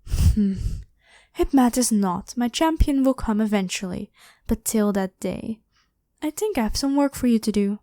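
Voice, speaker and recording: A woman's voice: a little high, expressive, measured pace, warm tone, no room sound, clean studio sound. A woman voicing an evil ancient pharaoh in an ASMR roleplay, imperious, demanding and scornful as she addresses the listener. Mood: imperious, menacing. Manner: haughty, commanding, theatrically scornful.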